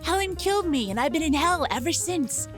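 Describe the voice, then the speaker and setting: A woman's voice: extremely high pitch, animated, a little fast, faint music behind. A woman playing a headstrong, impatient young mecha pilot and inventor in an audio drama. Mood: resigned, sarcastic.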